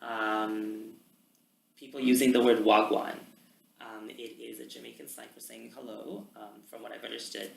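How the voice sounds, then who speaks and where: A man's voice: on the low side, moderate pace, creaky. A young Canadian man, a student, chatting casually about language with many ums. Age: young adult. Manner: relaxed, many ums, talks at length. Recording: roomy with faint background noise.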